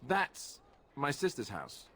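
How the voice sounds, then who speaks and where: An actor playing an awkward, earnest, boyish young warrior in a video game, hesitant and prone to nervous babbling. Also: male voice, medium pitch, huge pitch swings, slow, bright, forceful tone, exaggerated voice.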